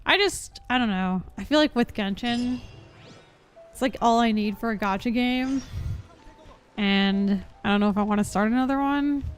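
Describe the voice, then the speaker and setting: A woman's voice: on the high side, moderate pace, faint music behind. A young adult woman chatting casually as she streams a game, rambling in an informal, unsure way. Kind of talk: casual live stream chat.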